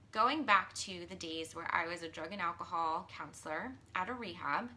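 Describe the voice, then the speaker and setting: Woman in her 30s, live-stream coach, bright tone, slightly hoarse, earnest chatty delivery with ums. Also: lowish voice, a little hiss or hum. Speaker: mindset and business coach. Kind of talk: casual live-stream talk, reading viewer comments.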